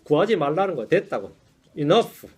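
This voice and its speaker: A male pastor giving a Sunday sermon in a church, conversational and self-deprecating, often tossing in quick rhetorical asides to the congregation. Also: fairly high pitch, sing-song, a little mellow, breathy edge. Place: church sanctuary.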